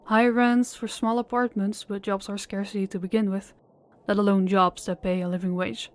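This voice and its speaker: A young woman playing a worn-out, loving girlfriend who talks softly and hesitantly, often stumbling over her words. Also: mid-range voice, little pitch movement, moderate pace, no echo, lightly acted. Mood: pleading. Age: young adult. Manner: soft, hesitant, stammering restarts. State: exhausted. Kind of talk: audio roleplay acting.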